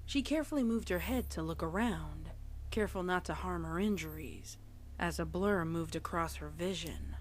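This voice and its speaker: A woman's voice: lowish voice, animated, normal speed. A woman reading fan fiction aloud as a storyteller, with casual reaction asides. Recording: faint background noise.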